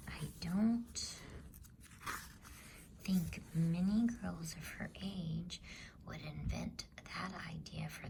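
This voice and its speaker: A woman voicing an elderly male professor in a bedtime storybook reading, calm, logical and measured, in a soft, breathy voice. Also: lowish voice, slight background noise. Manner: measured, musing, pedantic.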